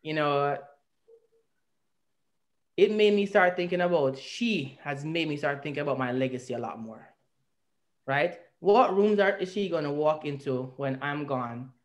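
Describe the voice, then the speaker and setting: A man's voice: a little high, a little slow. A man with a Jamaican accent telling his story at length as an interview guest, earnest and reflective, on a video call from a room at home. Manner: earnest, reflective, speaks at length with rising emphasis. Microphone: video call webcam.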